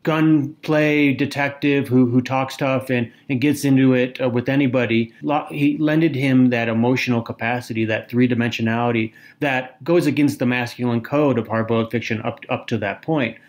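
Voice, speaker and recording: A man with a mid-range voice co-hosts a casual book podcast. He talks in a thoughtful, unhurried way, stopping now and then to pick his words. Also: mid-range voice, steady tone. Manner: thoughtful, reads quotes aloud. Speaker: podcast co-host. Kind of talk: casual literary discussion.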